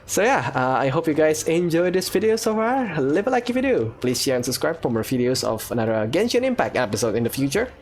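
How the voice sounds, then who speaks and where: A man's voice: fairly high pitch, sing-song, fairly quick, few pauses, slight background noise. A man hosting a gaming video, giving casual, off-the-cuff commentary in short reacting phrases.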